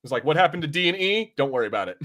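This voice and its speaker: A man's voice: fairly high pitch, sing-song, very fast, a bit bright. A man hosting a podcast, chatting casually in a rambling way and acting out imagined lines as he reviews. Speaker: podcast host.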